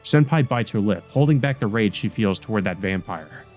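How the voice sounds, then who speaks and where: A man's voice: on the low side, animated, moderate pace, a little mellow. A man doing a let's play, reading visual novel narration aloud and adding casual commentary of his own. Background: game music.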